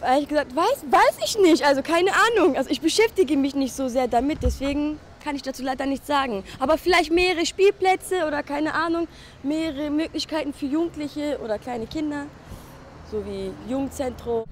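A woman's voice: high voice, moderate pace. A young woman answering a street interview outdoors, hesitant and unsure, giving short answers. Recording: faint outdoor traffic noise.

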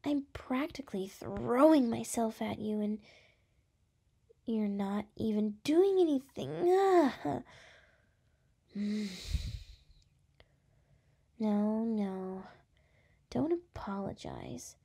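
Woman acting a slow, breathy, brash young gal. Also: a little high, expressive, mellow, heavily acted. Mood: exasperated. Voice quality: breathy, often dropping into whispers. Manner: halting, teasing, exasperated. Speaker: voice actress. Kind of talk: ASMR roleplay.

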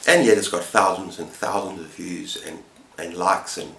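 A middle-aged man talks casually to a camera in the manner of a book vlogger. His voice sits fairly low, and he moves in short, halting phrases. The room adds a clear echo around his words. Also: sharp tone, a little hiss or hum. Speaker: book vlogger, channel host. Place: book-lined room at home, indoors. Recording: roomy, echoing indoor sound.

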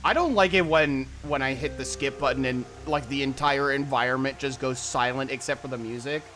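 A man's voice: medium pitch, a little fast, pushed and bright. A man chatting casually as a livestreamer, slangy and full of swearing. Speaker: game streamer. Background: game music.

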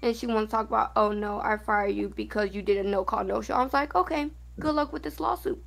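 Husky-voiced woman telling blunt stories. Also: medium pitch, fast. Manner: blunt, storytelling, swears freely. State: losing voice from illness. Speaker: game player. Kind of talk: casual chat.

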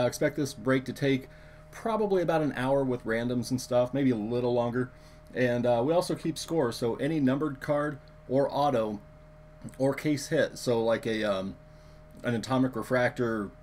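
Hoarse guy running a card break stream, lots of ums, faint hum behind. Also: mid-range voice. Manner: relaxed, filler-heavy, talks to chat viewers. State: hoarse, lost his voice. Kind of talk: live stream chat.

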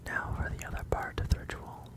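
A performer voicing a calm, commanding male werewolf in a whispered ASMR roleplay, with deliberate, formal phrasing. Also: lightly acted. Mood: secretive, teasing. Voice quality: breathy whisper.

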